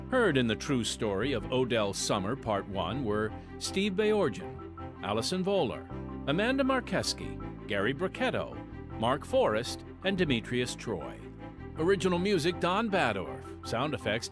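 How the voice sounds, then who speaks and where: A man hosting a radio drama podcast, reading scripted announcements and narration to listeners. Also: medium pitch.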